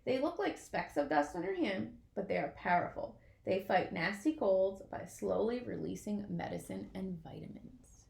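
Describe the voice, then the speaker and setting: A woman reading a children's picture book aloud in an expressive way, as if to young listeners. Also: mid-range voice, normal speed, vocal fry, hardly any pauses, echoey room. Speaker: storybook reader.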